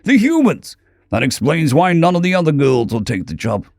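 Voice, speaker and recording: A man's voice: fairly high pitch, wildly swooping pitch, normal speed, darkish tone, dry recording, studio quality, pitched above the performer's own voice. A man voicing a stern alien fixer. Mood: urgent. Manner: stern, lecturing. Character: alien male tavern fixer, wary and blunt.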